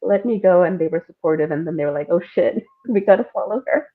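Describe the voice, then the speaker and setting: A woman from India and Singapore chatting casually as an interview guest, telling personal stories in an animated way with frequent laughter. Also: on the low side, quick pace. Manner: animated, laughs often while talking. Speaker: podcast guest, playwright and screenwriter. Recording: thin phone-like call audio.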